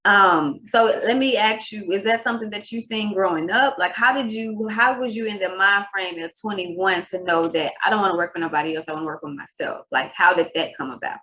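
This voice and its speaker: A woman's voice: medium pitch, fairly quick, few pauses, bright, forceful tone, poor audio. A woman from Texas, hosting a podcast interview in a casual, rambling way. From Texas, USA. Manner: casual, rambling, laughs easily. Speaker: podcast host.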